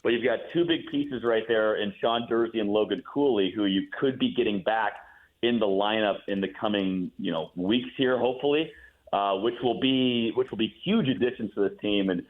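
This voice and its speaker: An American man from the Midwest, a play-by-play announcer giving a casual sports interview with animated, joking phrasing. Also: lowish voice, normal speed. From Midwestern United States. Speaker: radio play-by-play announcer, guest. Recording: thin phone-like line.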